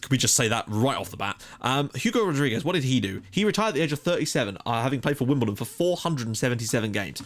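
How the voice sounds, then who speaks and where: A man's voice: mid-range voice, very animated, fast talker, few pauses. A young man casually commenting on his game playthrough with a jokey, rambling manner. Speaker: let's play host.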